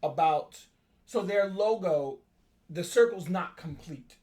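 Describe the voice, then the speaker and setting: A man's voice: sits high, slow, darkish tone. A man co-hosting a book club livestream, debating casually, often interjecting and repeating words for emphasis.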